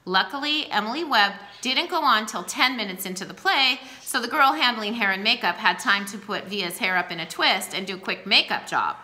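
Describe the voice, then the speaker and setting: A woman's voice: medium pitch, brisk, hardly any pauses. A woman in her forties reading a novel aloud at home into a close webcam, acting out the dialogue. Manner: expressive, acts out the dialogue. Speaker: reader. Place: small room at home, indoors. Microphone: webcam at close range.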